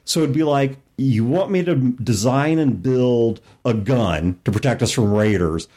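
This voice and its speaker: A man's voice: mid-range voice, unhurried, mellow. A man hosting a podcast, chatting casually about games. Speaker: podcast host. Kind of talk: casual discussion of role-playing games.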